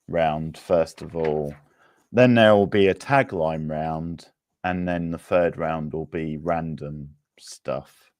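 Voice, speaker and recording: A man's voice: sits low, darkish tone, no room sound. A man hosting a livestream quiz, chatting casually with viewers in a laid-back, conversational way. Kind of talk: casual live chat with viewers.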